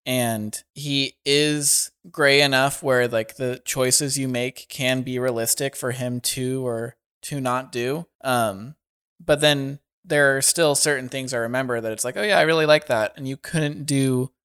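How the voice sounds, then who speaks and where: A man's voice: medium pitch, steady tone, measured pace, fairly bright tone, clear, resonant tone. A man chatting casually about games, easygoing and thinking aloud with fillers. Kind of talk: casual chat.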